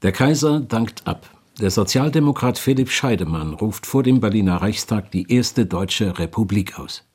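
A man with a raspy voice reading scripted narration for a radio documentary. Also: on the low side, animated, normal speed, a little mellow.

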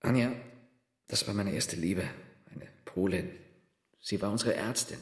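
An actor playing a bitter, self-doubting sculptor and war veteran in radio play dialogue, brooding and sardonic. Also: male voice, fairly low pitch, roomy sound, natural voice. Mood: recollecting. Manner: brooding, sardonic, halting when confessing.

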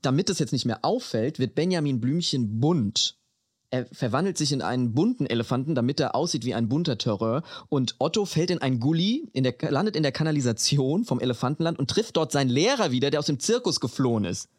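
A man's voice: medium pitch, expressive, brisk, dry recording, studio quality. A man hosting a podcast, telling things in a casual, comedic, mock-horrified way with slangy English phrases. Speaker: podcast host. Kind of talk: casual comedic storytelling chat.

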